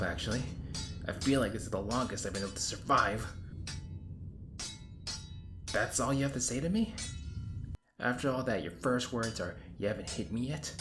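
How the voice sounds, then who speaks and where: A man's voice: fairly low pitch, lightly acted. A man playing an exasperated, sarcastic hero in an audio roleplay, rambling in casual modern phrasing. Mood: frantic, indignant. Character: exasperated, sarcastic young hero stuck in time loops. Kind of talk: audio roleplay acting, conversational monologue. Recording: roomy echo, clear background noise. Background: designed ambience.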